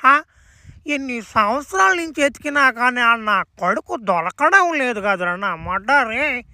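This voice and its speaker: A man's voice: very high voice, expressive, measured pace, pushed and bright, no echo, studio quality, full character voice. A man voicing a vengeful, scheming villain king who rants crudely and theatrically in a comic cartoon dub. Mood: exasperated.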